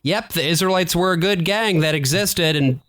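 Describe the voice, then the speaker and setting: A man's voice: high-pitched, moderate pace, a bit bright, dry recording, studio quality. A man co-hosting a podcast in a casual, joking conversational style. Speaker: podcast co-host.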